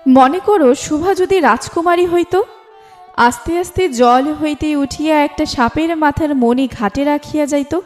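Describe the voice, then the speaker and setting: Female story narrator, high register, formal literary read over soft instrumental music. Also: a little slow. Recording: music bed under the voice.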